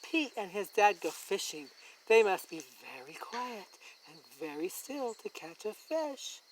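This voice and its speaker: A woman hosts a children's read-aloud. She reads at a slow, deliberate pace with lively, expressive intonation, as if showing each thing to young listeners. There is some background noise in the recording. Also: medium pitch, rough recording. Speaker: story time host reading a picture book aloud.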